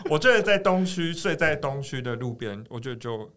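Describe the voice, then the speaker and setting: A man's voice: mid-range voice, animated, moderate pace, fairly bright tone. A man with a Taiwanese Mandarin accent hosting a podcast in casual, joking chat, often repeating his phrases. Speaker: podcast host.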